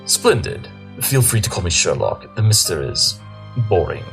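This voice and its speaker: A man's voice: low-pitched, very animated, moderate pace, a bit bright, soft background music, heavily acted. A man playing a self-assured, eccentric pony detective in a cartoon fan drama, theatrical and articulate. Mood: intrigued, breezy. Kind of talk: fan audio drama acting.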